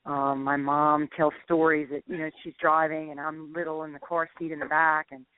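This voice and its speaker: Deep-voiced woman singer on a phone line. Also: level tone, moderate pace, smooth, no echo. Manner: easygoing, laughs while telling stories. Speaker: singer, interview guest. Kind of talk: casual storytelling interview. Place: California. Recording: dry, tinny phone line.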